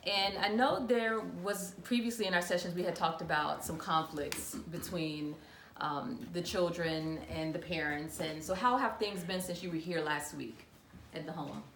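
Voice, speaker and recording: A woman leading a role-played family therapy session in a calm, measured way, her voice lowish and gravelly. The room is echoey, with a faint hiss behind. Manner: calm, measured, asks reflective questions. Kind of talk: counseling session role play.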